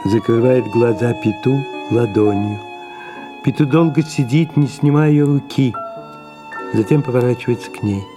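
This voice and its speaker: A man delivers scripted narration, reading the stage directions of an audio play. His voice sits at a medium pitch, with music clearly playing behind it. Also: moderate pace.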